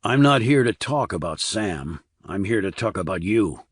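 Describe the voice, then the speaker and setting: A man voicing a hard, calm military investigator in an audiobook thriller, cool, blunt and controlled. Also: fairly low pitch, normal speed, husky, dry recording. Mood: insistent.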